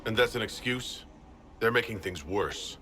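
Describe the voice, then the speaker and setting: A man's voice: on the low side, animated, measured pace, bright, forceful tone, slight background noise. A man playing a blunt, sceptical fantasy hero in a video game cutscene, asking curt and wry questions.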